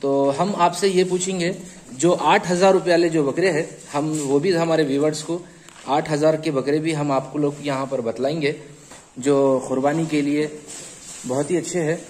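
A man's voice: fairly high pitch, steady tone, normal speed, darkish tone. Chatty Hyderabadi man hosting a farm tour. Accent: Hyderabadi Hindi-Urdu. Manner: chatty, repeats answers back. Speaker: host of a goat channel. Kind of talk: casual on-site interview.

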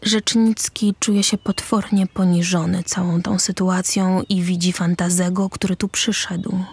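A woman reading scripted stage directions as the narrator of a drama, with a husky voice. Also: fairly low pitch, flat delivery, unhurried, darkish tone.

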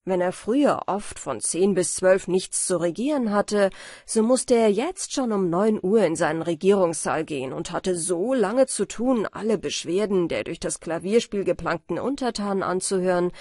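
A woman reading a fairy-tale audiobook as narrator, in an expressive storytelling style. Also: lowish voice, moderate pace, no room sound, studio quality.

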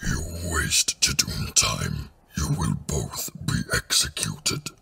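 A man voicing a blunt, merciless rhino-like alien police officer in an audio drama, with a gravelly rasp and clipped commands. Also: extremely low pitch, big pitch swings, slow pace, sharp tone, heavily acted. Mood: menacing. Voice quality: gravelly, rasping. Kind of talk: fan audio drama acting.

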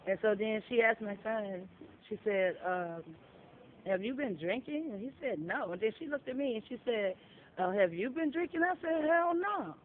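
A high-voiced woman tells a story in a blunt, profane way and acts out every line of dialogue. Her voice comes through a tinny, phone-like recording with a faint hiss behind it, taken outdoors on a patio. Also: expressive, moderate pace. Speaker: shelter resident. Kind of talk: casual storytelling.

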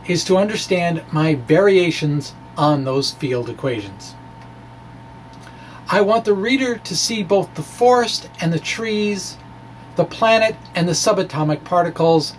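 A middle-aged man presenting a physics blog as a dramatic reading, with deliberate, theatrical phrasing. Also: on the high side, low-quality recording. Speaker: science blogger and presenter. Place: small indoor room with bookshelves. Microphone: microphone on a stand in front of him. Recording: clear steady background noise.

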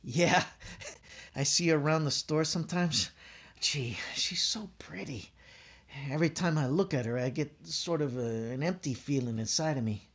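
A man's voice: on the high side, normal speed, a bit bright, breathy edge. An actor playing a blustering, easily flustered family man in old radio comedy style, stammering and exclaiming. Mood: shy, flustered. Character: blustering, bashful, flustered husband and father, comic everyman. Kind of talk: 1940s-50s radio sitcom acting. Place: indoors at home on a video call.

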